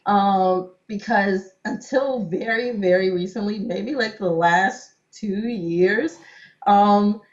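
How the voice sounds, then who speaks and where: A woman's voice: mid-range voice, slow. A young woman guest telling stories in a casual interview, laughing often and saying "like" a lot. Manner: chatty storyteller, laughs often, many "like"s. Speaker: cosplayer guest. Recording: dull lo-fi sound.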